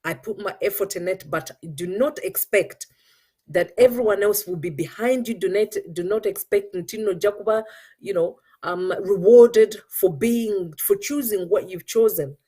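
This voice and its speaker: A woman's voice: mid-range voice, normal speed, dark tone, husky. A middle-aged woman with a Ugandan accent gives an earnest motivational talk as host, switching between English and Luganda. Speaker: show host.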